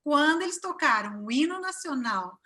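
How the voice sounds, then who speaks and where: A woman in her forties from Brazil with a Cachoeira accent, speaking in a bright, somewhat high voice over a video call. Her talk is warm and chatty, full of fillers and restarts. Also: expressive, normal speed. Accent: Brazilian Portuguese, from Cachoeira (Bahia). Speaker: interview guest. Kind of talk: casual interview chat.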